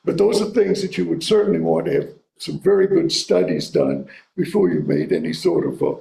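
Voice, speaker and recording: A man's voice: fairly high pitch, wildly swooping pitch, fairly quick, creaky, dark tone. A man giving a local history talk and answering audience questions, thoughtful and hedging as he speaks. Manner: thoughtful, hedging, restarts phrases. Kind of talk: lecture and audience Q&A. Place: library meeting room. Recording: echoey room, faint hiss.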